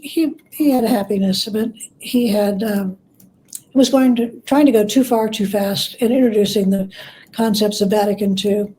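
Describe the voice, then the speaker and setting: Female expert guest, soft mellow tone set fairly high, measured conversational explainer who pauses between phrases. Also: slight background noise, rough recording. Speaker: interview guest, author and scholar.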